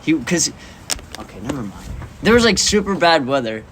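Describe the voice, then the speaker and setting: A man's voice: fairly high pitch, sing-song, normal speed, fairly bright tone. A young man co-hosting a casual podcast chat, rambling with lots of "like" and breaking into giggles. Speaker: podcast co-host. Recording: noisy background.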